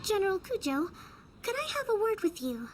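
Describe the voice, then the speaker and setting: A woman voicing a gentle, formal and polite young female strategist in an anime game, gracious and composed. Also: very high voice, very animated, brisk, slight background noise.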